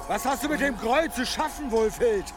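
Belligerent villager, high-pitched. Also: male voice, brisk, over music. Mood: accusing. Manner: accusing, gruff, domineering. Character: suspicious, belligerent village man, a goat farmer. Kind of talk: German radio drama acting.

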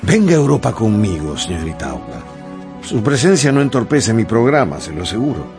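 Gallant English gentleman, very low voice, formal, reserved, expressive intonation, radio drama, music underneath. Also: brisk. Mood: solemn, tender. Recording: music behind the voice.

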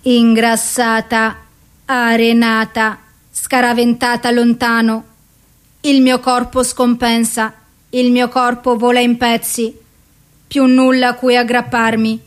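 An actress as a broken, suicidal woman delivering a poetic radio play monologue. Her voice sits fairly high and moves very slowly. Each short phrase is weighed and intense, heavy with despair. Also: natural voice. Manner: deliberate, intense, short measured phrases with pauses.

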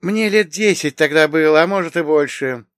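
Man putting on an imperious middle-aged noblewoman, pitched high. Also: a bit bright, dry recording, studio quality, natural voice. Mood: reminiscing. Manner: haughty, drawn-out, commanding. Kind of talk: acted audiobook dialogue.

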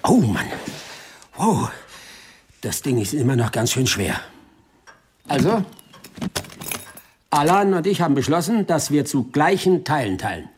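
An actor playing a roguish, excitable older petty thief in a German radio drama, moving between mutters and exclamations. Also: male voice, a little high, a little slow, pauses often, slight background noise. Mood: brisk. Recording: music behind the voice.

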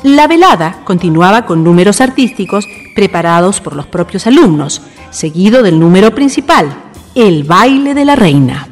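A woman's voice: medium pitch, very animated, moderate pace. A woman reading scripted narration for a radio drama. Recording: long reverb, music behind.

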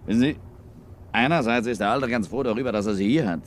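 An actor playing a cynical, scheming middle-aged ship's officer in a 1950s radio drama, sardonic and slyly insinuating. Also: male voice, mid-range voice, fast, natural voice. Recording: hissy 1950s radio recording.

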